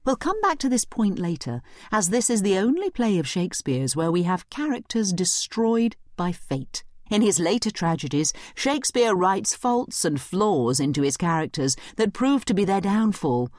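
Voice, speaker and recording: A woman's voice: medium pitch, normal speed, hardly any pauses, no room sound, clean studio sound. A woman giving scripted educational commentary as a narrator, conversational and explanatory in manner. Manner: animated, explanatory. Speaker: narrator and study guide commentator.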